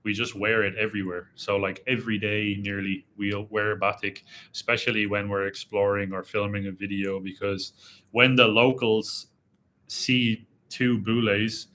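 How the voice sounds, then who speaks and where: A young man with a slight Irish accent hosts a relaxed live chat from a dim room, his voice bright and forceful. He rambles easily and slows down as he reads comments aloud. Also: lowish voice. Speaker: travel vlogger hosting a live Q&A. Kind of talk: casual live-stream chat reading viewer comments.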